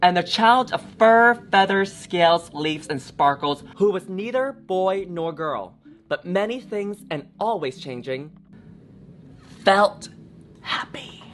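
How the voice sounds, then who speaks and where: Animated, low-voiced woman reading a storybook. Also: takes it slowly, slight background noise. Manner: theatrical, expressive, with ad-libbed asides. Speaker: drag storytime reader.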